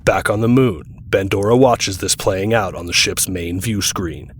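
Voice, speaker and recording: A man's voice: low voice, measured pace, a little hiss or hum. A man giving scripted, present-tense action narration for an audio drama.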